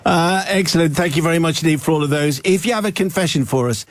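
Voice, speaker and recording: A man's voice: fairly high pitch, fast, no room sound, clean studio sound. A man hosting a radio show, reading out a listener's letter and often laughing as he goes. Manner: reads aloud, often breaks into laughter. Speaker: radio host. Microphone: studio microphone.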